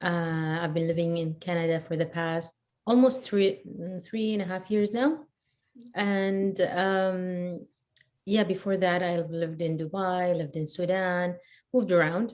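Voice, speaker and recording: Brief: Iraqi-born woman, 30s, low-pitched, relaxed and chatty with frequent laughs, as an interview guest. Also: a little slow. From Iraq. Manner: thoughtful, laughs easily, many fillers. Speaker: guest, education counselor and photographer. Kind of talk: casual interview chat.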